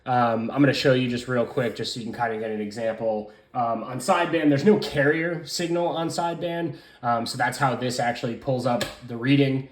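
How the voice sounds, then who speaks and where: A man's voice: mid-range voice, fairly quick, few pauses. Man in a roomy, echoing space, reviewing casually. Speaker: radio hobbyist reviewer. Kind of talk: casual hands-on product review. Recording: roomy, echoing room sound.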